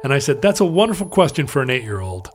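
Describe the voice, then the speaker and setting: A middle-aged man, co-hosting a podcast and telling stories casually in a halting, wry way. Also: medium pitch, sing-song, faint music behind. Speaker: podcast co-host. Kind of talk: casual conversational storytelling.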